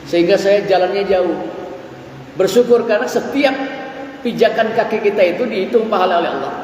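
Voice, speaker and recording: A man's voice: high voice, brisk, a little mellow, a little hiss or hum. A man in his forties giving a dawn sermon in a mosque, stretching out his phrases and reciting Arabic verses. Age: 40s. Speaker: preacher. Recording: echoey hall, voice from far off.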